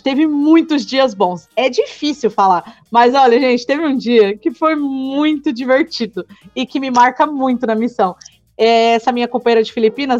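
Guest: high, bubbly São woman in her twenties, slangy and laughing, on slightly muffled audio with light music. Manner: excitable, laughing often, slangy. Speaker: podcast guest, returned missionary. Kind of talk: casual interview chat.

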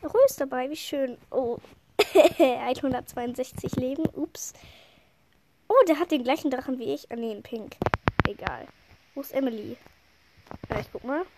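A woman's voice: high-pitched, expressive, moderate pace, long pauses, very noisy. A woman with a head cold giving casual, rambling commentary while she plays a game, sniffling and chatting with lots of fillers. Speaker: gameplay commentator.

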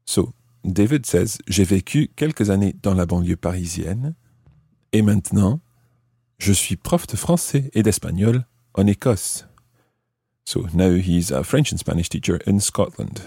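A man with a low, clear voice teaches a language lesson in a deliberate way. His tone is mellow and the recording is dry and close, as he moves between explanations and French examples. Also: lively intonation, no room sound.

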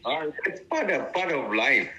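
A man's voice: mid-range voice, sing-song, normal speed, pushed and bright. A man, a retired ambassador telling stories in a leisurely, good-humoured way during an interview. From Malaysia. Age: 90s. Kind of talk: casual interview reminiscence.

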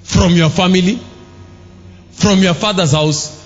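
A man preaching a sermon, emphatic, rising to shouts and dropping low for asides. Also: unhurried, muffled recording. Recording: live music under a long hall reverb.

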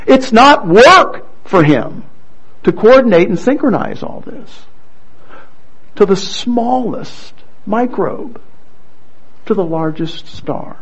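A man preaching a sermon and reading scripture aloud, earnest and halting, with frequent restarts. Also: high-pitched, lively intonation, fairly bright tone, breathy edge, muffled recording. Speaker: pastor.

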